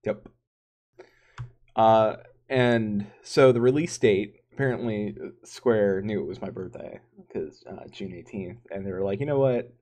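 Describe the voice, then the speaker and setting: A man's voice: on the low side, measured pace, darkish tone. A man co-hosting a casual gaming podcast, who talks in stop-start bursts, restarts his sentences and laughs often. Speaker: podcast co-host.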